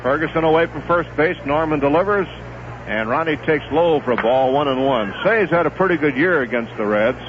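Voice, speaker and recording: A middle-aged man calls a baseball game live on the radio, in a steady, relaxed rhythm that lifts when a play heats up. His voice sits a little high. The sound is a dull, muffled 1970s radio broadcast with clear hiss underneath. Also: fairly quick. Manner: steady relaxed play-by-play, rising excitement on big plays.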